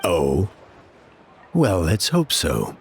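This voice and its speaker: A stern, protective middle-aged elder in a game, with a lowish voice that rises and falls a great deal. He speaks in a measured, formal way. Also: huge pitch swings, vocal fry, heavy background noise, heavily acted.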